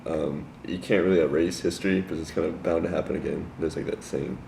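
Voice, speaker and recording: Deep gravelly young man, laid-back. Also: fairly even intonation, fast, warm tone, echoey room, slight background noise. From rural Virginia. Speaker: interviewee. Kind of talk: casual street interview.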